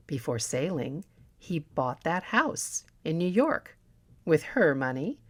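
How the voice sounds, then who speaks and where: Slow, low-pitched woman reading a dramatic story. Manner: theatrical, deliberate, expressive. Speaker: audiobook reader. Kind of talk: dramatic scripted story narration.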